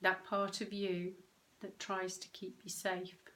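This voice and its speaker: A woman leading a guided meditation, speaking gently and unhurriedly in short phrases with long pauses. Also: mid-range voice, completely flat delivery. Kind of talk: guided meditation, soothing instruction.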